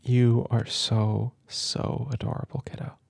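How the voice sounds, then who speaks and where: A man speaking in a gentle, doting, playful way, as in a soft ASMR roleplay where a caring big brother reads a children's book aloud. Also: mid-range voice, flat delivery, unhurried, creaky, no echo. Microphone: close microphone, dry room.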